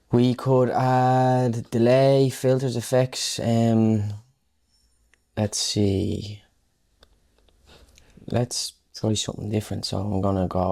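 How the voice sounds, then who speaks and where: A man's voice: on the low side, vocal fry, dark tone. A man giving a casual, unscripted music production tutorial, thinking aloud as he works.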